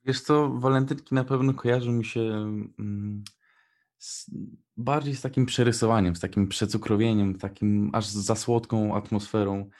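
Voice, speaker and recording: A man's voice: lowish voice, fairly even intonation, normal speed, darkish tone. A young man co-hosting a radio show, reading prepared notes and chatting casually. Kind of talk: scripted narration and casual radio chat.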